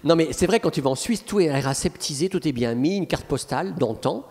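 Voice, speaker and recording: A man's voice: fairly high pitch, expressive, fairly quick, darkish tone. Chatty Belgian man in a reverberant hall. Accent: Belgian French. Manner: chatty, frequent restarts and "voilà". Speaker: guest speaker, humorist. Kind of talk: relaxed anecdotal talk answering questions. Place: lecture hall with audience.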